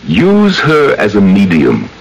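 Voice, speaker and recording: Radio villain: vengeful hypnotist from the grave, theatrical and swooping, drawn-out menacing delivery. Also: male voice, fairly low pitch, huge pitch swings, vocal fry, highs cut off, exaggerated voice. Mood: coaxing, commanding. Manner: slow, theatrical, menacing, savoring words. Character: sinister vengeful male mesmerist, an undead narrator from the grave. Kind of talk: old-time radio drama acting. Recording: old radio broadcast with music underneath.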